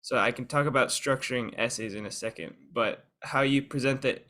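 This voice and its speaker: Young guy giving a prepared talk, measured and organized, mid pitch. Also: sharp tone. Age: young adult. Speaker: student guest speaker.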